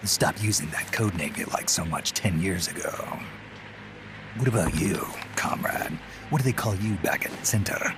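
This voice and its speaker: An actor playing a gruff, world-weary male mercenary leader in a video game, speaking tersely and dryly. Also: low-pitched, expressive, moderate pace, music in the background. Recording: game cutscene with clear background noise.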